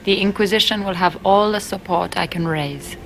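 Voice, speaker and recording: An actress playing a shrewd, composed elven rebel spymaster in a fantasy game, speaking in a measured, assured way. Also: female voice, mid-range voice, gravelly, fairly bright tone, soft background music. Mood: earnest, assured. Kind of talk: dramatic fantasy game acting.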